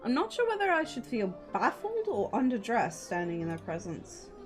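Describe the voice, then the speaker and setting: A woman streams herself reading a visual novel over faint music. Her voice is fairly high and swings widely in pitch. She moves between narration and casual, cheeky, swearing chat. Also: huge pitch swings, normal speed. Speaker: livestreamer, VTuber. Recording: music behind the voice.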